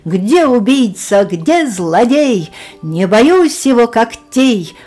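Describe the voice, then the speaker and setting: A woman's voice: a little high, sing-song, takes it slowly, warm tone, faint music behind, full character voice. A woman doing a brave little mosquito hero. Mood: bold heroic.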